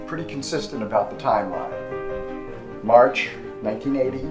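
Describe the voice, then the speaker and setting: A man's voice: medium pitch, moderate pace, natural voice. Wounded man in a roomy drama scene acted by a man. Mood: bitter, restrained. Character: wounded man confronting his brother over betrayal. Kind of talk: dramatic screen monologue acting. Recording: roomy, with faint music underneath.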